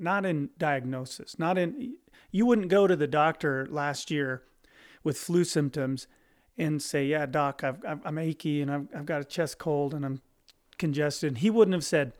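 A man's voice: on the high side, unhurried. A man co-hosting a podcast in casual discussion, talking emphatically and often repeating and restarting his phrases. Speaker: podcast co-host.

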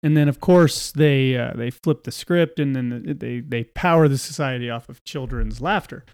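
A man co-hosting a casual podcast, a little hoarse and raspy, talking in a wry, joking way with many fillers. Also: mid-range voice, moderate pace, darkish tone, no echo, clean studio sound. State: hoarse from yelling. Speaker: podcast co-host.

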